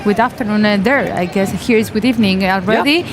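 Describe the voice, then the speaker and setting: A woman's voice: mid-range voice, quick pace, a crowd in the background, background noise. A woman co-hosting a live stream, reading viewer comments aloud, giggly and hesitant over names.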